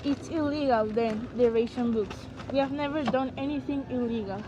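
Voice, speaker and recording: A woman's voice: on the high side, moderate pace, noisy background, close to an everyday voice. A woman playing an earnest, polite, anxious grown woman in an amateur wartime drama reading. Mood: earnest, concerned.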